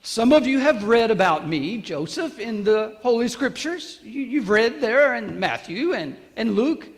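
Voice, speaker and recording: Casting: male actor as boastful, excitable ancient carpenter for stage monologue; very animated, emphatic, halting delivery, long hall reverb. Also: very high-pitched, exaggerated voice. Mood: earnest, marveling. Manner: emphatic, halting, repeats phrases, addresses listeners directly. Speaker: monologue performer. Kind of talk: theatrical church monologue.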